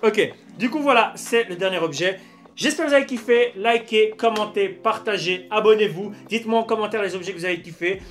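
A young man with a high-pitched voice presents an unboxing vlog. He talks in a casual, slangy way, like someone showing things to friends. Faint music plays under his voice. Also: a little fast. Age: young adult. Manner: informal, slangy. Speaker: YouTube host unboxing souvenirs. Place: small dim room at home, indoors.

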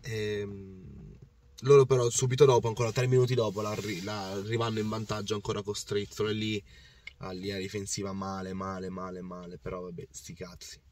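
A man in his thirties with a worn-out, hoarse voice, passionately recapping a football match to camera. He rambles, repeats himself and restarts his sentences, and speaks from a parked car. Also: on the low side, level tone, normal speed, a little mellow, far from the mic, slight background noise. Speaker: football fan vlogger. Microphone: phone or camera on the dashboard.

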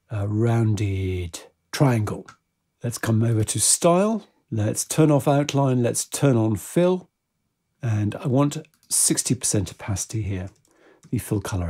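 A man presenting a software tutorial step by step, in a methodical, informal way. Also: medium pitch, husky.

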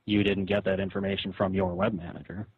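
A man's voice: low voice, level tone, a little fast, breathy edge. A man on a tinny phone call, arguing his side in a hesitant, conciliatory, reasoning way. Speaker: caller. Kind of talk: phone argument, casual and conciliatory. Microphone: telephone. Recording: narrow, tinny phone line.

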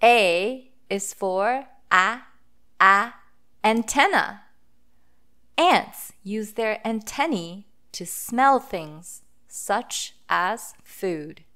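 Female children's read-aloud host: very unhurried, deliberate phonics delivery, mid-range pitch. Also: expressive, sharp tone, clean tone. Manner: deliberate, sounding out letters and words for children.

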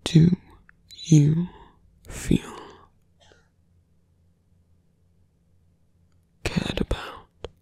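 A man playing a seductive, menacing fantasy villain in an ASMR roleplay, soft-spoken and breathy, often slipping into whispers, with deliberate pauses. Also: on the high side, little pitch movement, dry recording, exaggerated voice. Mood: intimate, probing. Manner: slow, deliberate, with long pauses.

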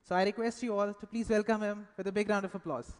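A woman's voice: mid-range voice, fairly even intonation, quick pace, a little hiss or hum. Woman hosting in a reverberant hall. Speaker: event host. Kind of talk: introducing a speaker. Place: large indoor conference hall, on stage.

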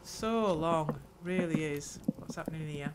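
An older woman hosting a gameplay video, muttering her thoughts aloud in an unhurried way. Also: low-pitched, very noisy. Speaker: gaming channel host. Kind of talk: let's play commentary.

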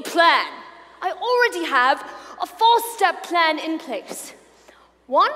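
A woman's voice: extremely high pitch, bright, forceful tone, full character voice. A woman playing an arrogant, spiteful teenage schoolboy bully with a pompous, sneering drawl in a comedic stage reading. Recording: reverberant hall, clear background noise.

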